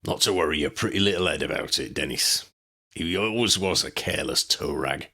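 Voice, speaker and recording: A man voicing a gruff, shady middle-aged wizard dealer who talks in rough slang. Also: low voice, fairly quick, bright, forceful tone, heavily acted. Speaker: voice actor.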